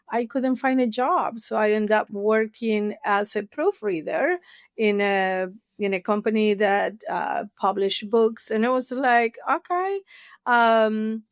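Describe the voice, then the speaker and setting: A woman's voice: a little high, dry recording, clean studio sound. A middle-aged woman from Argentina with an Argentine Spanish accent, a therapist telling her story candidly as a podcast guest. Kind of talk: casual interview storytelling.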